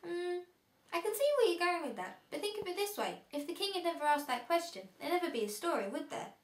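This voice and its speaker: A woman's voice: very high voice, sing-song, quick pace. A woman playing a friendly older relative who reads bedtime stories in an amateur drama. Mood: friendly, teasing. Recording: roomy echo, faint background noise.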